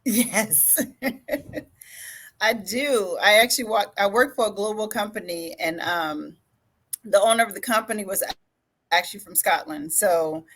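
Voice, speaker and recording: A woman hosts a podcast interview in an upbeat, friendly way. Her voice sits at a mid pitch. She laughs easily between her questions. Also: a little slow, long pauses, a bit bright. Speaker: podcast host. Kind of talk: casual interview.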